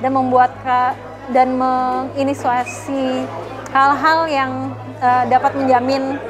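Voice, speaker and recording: A woman's voice: high voice, level tone, slow, over music, crowd noise. A woman speaking reflectively and earnestly in an interview about workers. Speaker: documentary maker. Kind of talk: interview statement.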